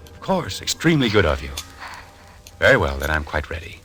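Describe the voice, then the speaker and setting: Grave, deep-voiced duelist. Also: male voice, very animated, brisk, over music. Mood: grave, resolute. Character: formal, grave duelist counting paces. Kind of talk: 1940s radio drama acting. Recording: noisy, crackly old radio recording.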